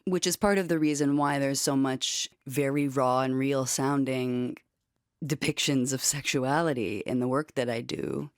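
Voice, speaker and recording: A woman's voice: very deep voice, fairly even intonation, a little slow, no room sound. Earnest woman from Canada, dry sound. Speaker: audio artist and radio playwright. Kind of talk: reflective interview talk.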